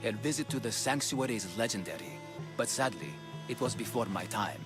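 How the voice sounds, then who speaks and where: An actor playing a calm, grave sanctuary priest in a video game, speaking in a measured, solemn way. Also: male voice, medium pitch, over music, lightly acted. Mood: grave, resigned. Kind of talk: video game dramatic dialogue.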